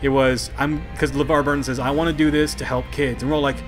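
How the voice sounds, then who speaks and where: A man's voice: mid-range voice, level tone, fast, faint music behind. A man co-hosting a casual podcast, trading upbeat, joking banter. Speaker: podcast co-host.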